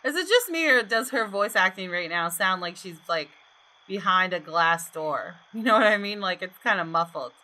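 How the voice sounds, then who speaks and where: A woman's voice: medium pitch, expressive, normal speed, sharp tone. A woman hosting a gaming video, reading the story narration aloud expressively with casual asides, close to the microphone.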